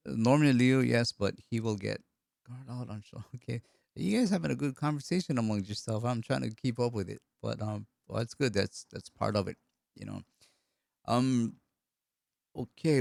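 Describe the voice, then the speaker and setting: A man's voice: mid-range voice, vocal fry, a little mellow, no room sound, clean studio sound. A middle-aged man from Hawaii with a cold, hosting a live stream, chatting loosely and answering viewer comments while he repeats his words. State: hoarse with a cold.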